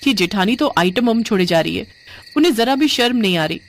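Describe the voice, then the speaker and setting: A woman's voice: medium pitch, animated, a little fast, slight background noise, pitched above the performer's own voice, natural voice. A woman voicing an irritable, complaining young newlywed in a cartoon family story, exasperated and argumentative. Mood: disgusted, grumbling. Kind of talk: animated Hindi family story dialogue.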